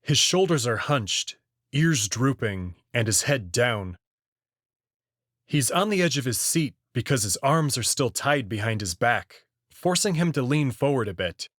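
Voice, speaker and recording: Slow-reading male storyteller. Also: medium pitch, animated, fairly bright tone, no echo, studio quality. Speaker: narrator. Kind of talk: first-person story narration.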